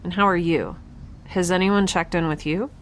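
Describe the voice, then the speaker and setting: A woman playing an exhausted, injured but determined young scientist in an audio drama, speaking in a halting, wry way that trails off mid-thought. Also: fairly low pitch, steady tone, slight background noise, close to an everyday voice. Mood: tired, wry. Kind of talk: audio drama log monologue and dialogue.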